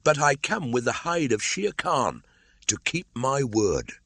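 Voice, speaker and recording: A bold young jungle boy of a storybook, acted by a grown man. He is commanding and scornful. The voice is pitched up and thrown out loud, like someone used to calling wolves. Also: sing-song, measured pace, gravelly, breathy edge, no room sound, exaggerated voice. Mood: triumphant calling. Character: Mowgli, bold defiant boy raised by wolves.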